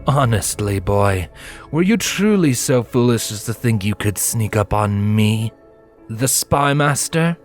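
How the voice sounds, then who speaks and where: A man's voice: fairly low pitch, lively intonation, measured pace, faint music behind. A man voicing a smug, menacing bat-pony spy master who speaks in a purring, formal, condescending way in a fantasy story reading. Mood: smug menace.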